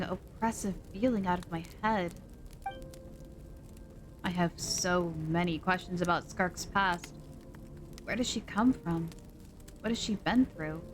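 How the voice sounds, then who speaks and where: A woman's voice: on the low side, a little hiss or hum. A woman streamer chatting expressively as she plays and reads the dialogue aloud. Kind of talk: casual game commentary and reading dialogue aloud.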